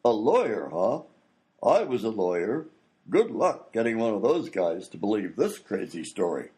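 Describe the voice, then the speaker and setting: A man's voice: on the low side, sing-song, normal speed, mellow. A man playing a pompous, wisecracking and grumpy American president from history in a comedy radio play, with an oratorical manner. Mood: suspicious, bossy.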